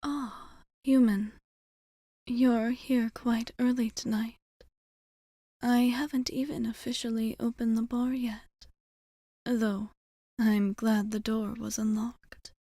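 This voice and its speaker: A woman playing a tender, old-souled vampire bartender in a whispered ASMR roleplay, speaking softly, deliberately and a little formally, with affection. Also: fairly high pitch, fairly even intonation, dry recording, studio quality. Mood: caring, concerned. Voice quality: soft, breathy whisper.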